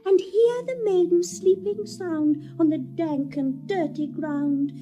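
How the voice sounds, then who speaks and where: A playful, mischievous sprite speaking verse in a very high, unhurried voice. Lines lilt toward song, and soft music plays behind. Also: female voice. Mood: sly. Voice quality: light, sing-song delivery. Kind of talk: stage drama acting.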